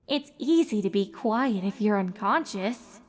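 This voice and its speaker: A woman voicing an earnest young female pony spectator, speaking in expressive asides in a story reading. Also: mid-range voice, measured pace. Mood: wry thought.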